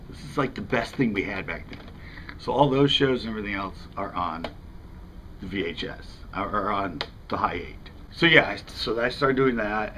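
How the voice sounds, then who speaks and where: Fishing vlog host: American male, fifties, lower register, casual and rambling, some outdoor background noise. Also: a bit bright. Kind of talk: casual talk to camera. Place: outdoors in front of a house window.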